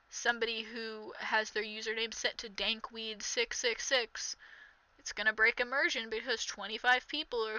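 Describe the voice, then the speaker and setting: A woman's voice: fairly high pitch, bright, forceful tone, low-quality recording. A young woman doing sarcastic reaction commentary, reading text aloud and mocking it with exasperation, in a somewhat nasal voice. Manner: mocking, exasperated, reads aloud then jabs. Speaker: commentary vlogger.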